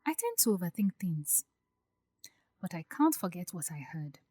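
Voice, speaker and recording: A woman playing an anxious, hurt young bride-to-be in an audio drama, speaking softly and hesitantly as she confides her doubts. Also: fairly low pitch, sing-song, normal speed, no echo. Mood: anxious, regretful. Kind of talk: acted audio drama dialogue.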